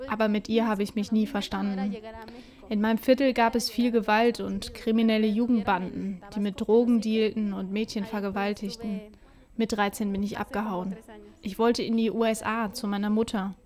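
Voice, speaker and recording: Female voice-over, mid pitch, calm first-person telling. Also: normal speed. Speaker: voice-over translator.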